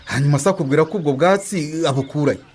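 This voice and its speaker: An actor as very fast-talking Rwandan village leader. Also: male voice, fairly high pitch, darkish tone, a little hiss or hum, lightly acted. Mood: insistent. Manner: insistent, explanatory. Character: persistent village leader politely collecting an unpaid debt. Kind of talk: radio drama acting.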